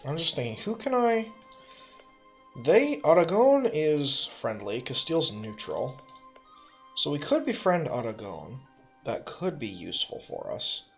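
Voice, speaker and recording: A man's voice: on the high side, sing-song, measured pace, gravelly, dull audio, soft background music. A man thinking aloud as he plays a strategy game, musing, correcting himself and making dry asides. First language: English. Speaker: let's play host.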